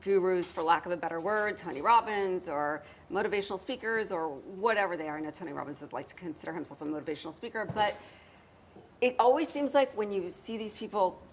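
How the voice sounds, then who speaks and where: Lively lady host, acts out quotes, a little hiss behind her. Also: lowish voice, fast. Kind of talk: casual talk show chat.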